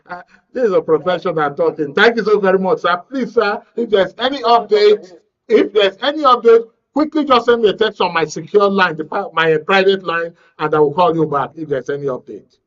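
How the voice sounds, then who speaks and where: A man's voice: high-pitched, brisk, fairly bright tone, muffled recording. A man with a Nigerian accent hosting live election coverage, courteous and often repeating his phrases. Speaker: programme host.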